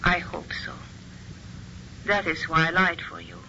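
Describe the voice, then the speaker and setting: A gentle Slavic woman. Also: on the low side, flat delivery, very noisy. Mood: tender. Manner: soft, earnest, slow. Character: warm gentle Slavic woman, miner's wife. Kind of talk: 1950s radio drama acting. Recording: lo-fi old radio recording.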